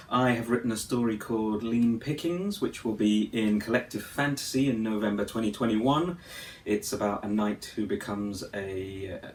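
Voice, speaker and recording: A British man giving a casual author's message in an offhand, halting way. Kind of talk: casual video message about stories. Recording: echoey room, distant mic, rumbly noise.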